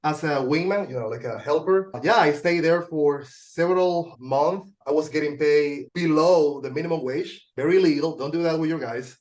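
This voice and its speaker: A man from Venezuela speaks English with a Venezuelan Spanish accent, his voice sitting slightly high. He talks earnestly and a bit haltingly, with fillers and frequent laughs, over a video call. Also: a little mellow. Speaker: guest, junk removal manager. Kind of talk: casual interview chat.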